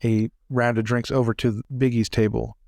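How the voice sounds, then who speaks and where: A man's voice: medium pitch, level tone, normal speed, vocal fry, a little mellow, no echo, studio quality. A man casually describing his character's moves as a player in a tabletop roleplaying game.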